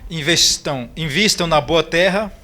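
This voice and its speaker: A man from northeastern Brazil with a Brazilian accent, interpreting a sermon with quick, fluent phrasing and small restarts. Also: fairly high pitch, bright, forceful tone. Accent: Brazilian Portuguese. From Ceará, Brazil. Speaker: missionary interpreter.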